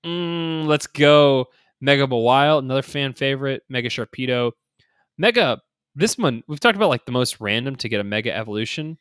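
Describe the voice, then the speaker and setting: A man's voice: medium pitch, lively intonation, brisk, fairly bright tone, smooth, no room sound, clean studio sound. A man co-hosting a gaming podcast in casual, conversational chat, often dropping in 'like' and 'you know'. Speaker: podcast co-host.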